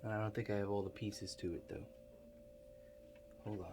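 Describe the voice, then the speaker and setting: Deep-voiced man in ASMR roleplay, loud hum. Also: normal speed. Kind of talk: ASMR roleplay, improvised talk to the listener. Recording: loud steady hum behind the voice.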